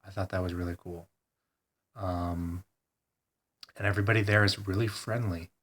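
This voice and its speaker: A congested man talking hesitantly in a rambling personal video diary, full of pauses and ums. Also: deep voice, steady tone, a bit bright. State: congested, stuffed-up nose. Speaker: vlogger.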